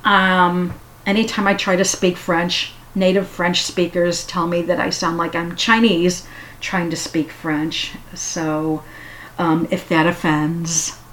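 A woman giving a casual spoken history talk, unhurried and halting with frequent fillers. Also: fairly low pitch. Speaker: history storyteller.

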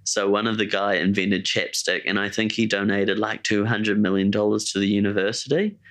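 A young man with a New Zealand accent talks in a fairly low, somewhat monotone voice. His delivery is laid-back and rambling, with plenty of "like" and "eh" as he chats on a podcast. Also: a little fast. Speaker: podcast co-host. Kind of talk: casual chat.

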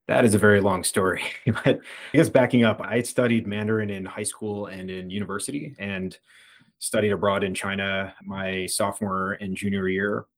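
A man in his thirties from the Philadelphia area, a fund manager talking as a podcast guest in a relaxed, conversational way that often restarts phrases. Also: lowish voice, moderate pace. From United States (Philadelphia area). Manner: conversational, frequent restarts and repeated words. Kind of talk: podcast interview.

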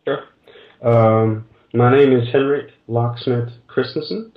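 A man in his forties speaks in a slow, halting way, often stopping to find the right word. His voice comes through a distant, roomy remote connection. The audio is dull and muffled. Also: medium pitch, fairly even intonation. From Denmark. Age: 40s. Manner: slow, halting, searching for words. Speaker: dancer guest. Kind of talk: casual interview answers.

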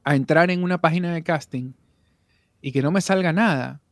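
Man guest with a somewhat high voice, candid and reflective, lots of restarts, over a video call, dry sound. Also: normal speed, no echo. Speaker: voice actor guest. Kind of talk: casual podcast interview.

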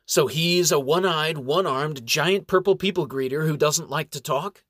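A man's voice: a little high, normal speed, fairly bright tone, dry recording, clean studio sound. A man voicing a wily old gray-haired male elf who haggles with merchant charm. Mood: sly. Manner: cheeky, wheedling, merchant charm.

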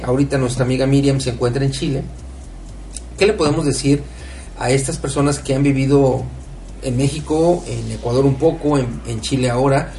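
A man's voice: mid-range voice, darkish tone, far from the mic. A man co-hosting a radio interview, asking long winding questions with many fillers. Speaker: radio show co-host. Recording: echoey room, off mic, faint noise.

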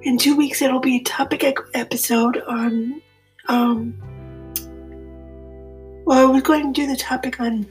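Woman podcast host, sick, apologetic and halting, faint music bed. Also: sits high, steady tone, low-quality recording. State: sick, fighting a virus. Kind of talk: casual update to listeners.

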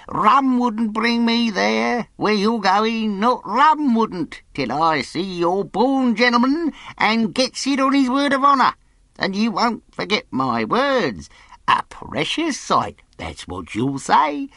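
A wild old castaway, high and gravelly. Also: male voice, no echo, heavily acted. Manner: rustic sailor dialect, pleading, drawn-out, excitable. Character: wild half-mad old male castaway, eager and cunning.

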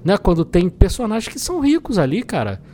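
A man's voice: high voice, huge pitch swings, fairly quick, mellow, slight background noise. A man with a Brazilian accent hosting a film podcast, talking casually in an opinionated, explanatory and colloquial way. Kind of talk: casual film review discussion. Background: background music.

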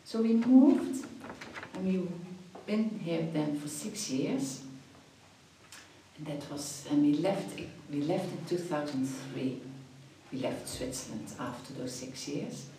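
A woman's voice: sits low, expressive, takes it slowly, dark tone, heavy reverb, distant mic, slight background noise, rough recording. A Dutch woman in her fifties, chatty, answering interview questions and often restarting her phrases. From Netherlands. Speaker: after-school activities coordinator.

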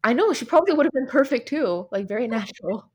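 A young woman from the United States co-hosts a podcast, chatting in an animated, exclamatory way. Her voice sits a little above average in pitch, and she laughs often between remarks. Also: fast, slightly rough, muffled recording. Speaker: podcast co-host. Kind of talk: casual chat.

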